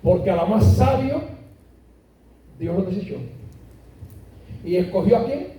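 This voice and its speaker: A man preaching a sermon to a congregation, stressing key words by slowing down and rising in emphatic bursts. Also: high-pitched, lively intonation, mellow, a little hiss or hum. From Puerto Rico. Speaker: pastor. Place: church sanctuary. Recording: echoey church heard from a distance.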